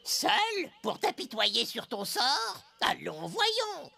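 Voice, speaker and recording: A woman's voice: fairly high pitch, huge pitch swings, takes it slowly, vocal fry, pushed and bright, exaggerated voice. A woman voicing a small, cheeky, bossy flying jungle creature in a cartoon dub, teasing and dramatic.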